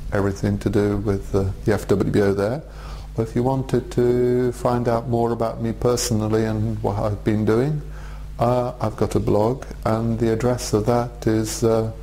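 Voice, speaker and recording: Low, flat-voiced English man in his forties. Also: a little hiss or hum, poor audio. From Russia, raised in England. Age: 40s. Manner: measured, thoughtful, many hesitations. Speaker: Buddhist teacher. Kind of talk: direct-to-camera video address.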